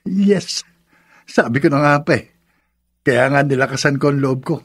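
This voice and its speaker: Husky, pleading young male lover played by an actor. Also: medium pitch, normal speed, lightly acted. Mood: hopeful. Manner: pleading, insistent, melodramatic. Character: pleading, self-centred young male lover seeking forgiveness. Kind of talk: Filipino radio drama acting. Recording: music behind the voice.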